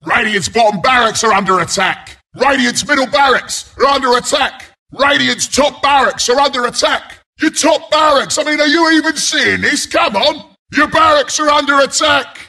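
An actor voicing a scrappy, cocky porcupine brawler as a video game announcer, with a London Cockney accent, a gravelly rasp and cheeky, blunt banter. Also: male voice, sits high, big pitch swings, measured pace, bright, forceful tone, exaggerated voice. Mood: urgent, alarmed. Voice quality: gravelly, raspy.

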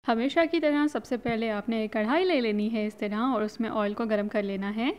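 A woman's voice: on the high side, moderate pace, a little mellow, clean tone. A woman hosting her own cooking channel, casually talking viewers through a recipe step by step and mixing English words into her speech. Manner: chatty, instructive, mixes in English words.

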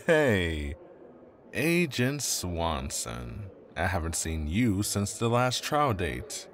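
A man acts a smug male defense attorney in an audio drama. His very deep voice swings freely as he needles with false friendliness. Faint noise sits behind the voice. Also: big pitch swings, extremely slow, heavily acted. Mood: smug, taunting. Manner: smug, mock-friendly, taunting.

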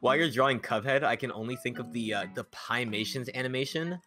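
A man's voice: mid-range voice, fast, music in the background. A man joining a livestream as a guest, trading jokes and teasing banter with the host. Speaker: co-host on call. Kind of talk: casual livestream chat.

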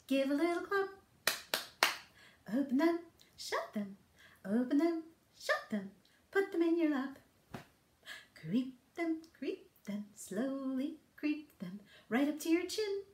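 A middle-aged woman speaks to small children in a high, very animated voice. She hosts storytime with playful, singsong encouragement, taking her time over the words. The room adds some echo. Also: takes it slowly, pauses often, a little hiss or hum. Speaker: children's storytime librarian host. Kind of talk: read-aloud, songs and rhymes for toddlers. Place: small book-lined office. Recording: roomy indoor echo.

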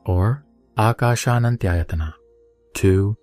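A man giving a steady, even reading of a Buddhist scripture. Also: lowish voice, slow. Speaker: sutra reciter. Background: soft background music.

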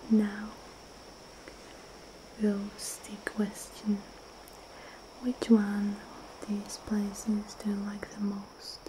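A young woman whispering a slow, dreamy ASMR guided visualization close to the mic, with soft murmured hums between phrases. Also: mid-range voice, noisy background. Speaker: ASMR host.

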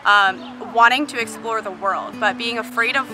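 High-voiced young Canadian woman over music. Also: normal speed. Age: young adult. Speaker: festival ambassador giving feedback. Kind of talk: casual spoken testimonial to camera. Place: outdoors in a park. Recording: loud music behind the voice.

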